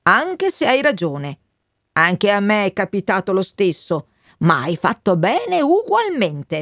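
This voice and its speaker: A woman's voice: mid-range voice, wildly swooping pitch, slow, fairly bright tone, no room sound, clean studio sound, full character voice. A woman voicing the wind as a once-fierce male spirit that speaks to a child in a lilting, raised voice. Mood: consoling. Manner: soothing, then hissing and boastful.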